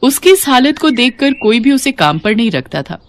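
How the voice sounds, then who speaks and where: A woman narrating a scripted children's story in an expressive storytelling style. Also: a little high, normal speed, a little hiss or hum.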